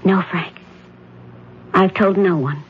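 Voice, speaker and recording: A woman playing a frightened middle-aged wife of a judge in a 1970s radio drama, talking in an anxious, halting, stammering way. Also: fairly low pitch, breathy edge, full character voice. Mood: hushed. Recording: dull old radio broadcast.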